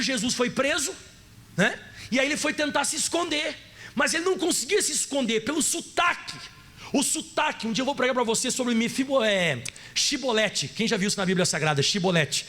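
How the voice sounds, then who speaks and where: Animated gaúcho pastor, high voice, swings up and down a lot, jokey. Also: male voice. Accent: Gaúcho Brazilian Portuguese. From Rio Grande do Sul, Brazil. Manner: animated, playful, acts out voices and asks the audience questions. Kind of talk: sermon.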